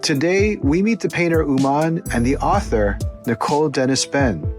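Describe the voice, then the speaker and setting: A man hosting a podcast, giving a scripted introduction and asking interview questions in a measured, polished way. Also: a little high, over music. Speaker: podcast host.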